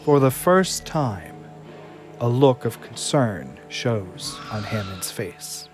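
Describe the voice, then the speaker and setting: A man's voice: mid-range voice, big pitch swings, slow. Male drama narrator over music. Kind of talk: scripted audio drama narration.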